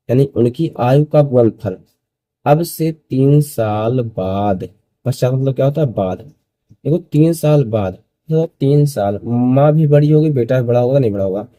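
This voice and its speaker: Dark, muffled-toned young male maths teacher. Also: mid-range voice, no room sound. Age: early 20s. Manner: repeats phrases, asks and answers own questions. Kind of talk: step-by-step school maths lesson.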